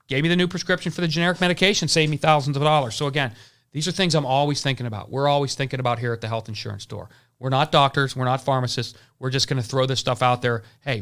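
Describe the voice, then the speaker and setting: Bright-voiced man in his forties, rambling. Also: mid-range voice. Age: 40s. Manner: rambling, repeats words and restarts often. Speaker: insurance agency owner. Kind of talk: casual advice talk.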